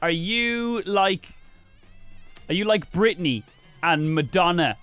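A man's voice: high-pitched, lively intonation, slow, a bit bright, clean tone, no room sound, faint music behind. Male radio host, dry studio sound. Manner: chatty, stumbling restarts. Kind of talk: radio interview.

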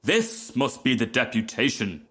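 A man voicing a haughty, self-important firework rocket in a storybook, making pompous, drawn-out pronouncements. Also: mid-range voice, sing-song, a bit bright, breathy edge, heavily acted. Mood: smug. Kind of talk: storybook character reading.

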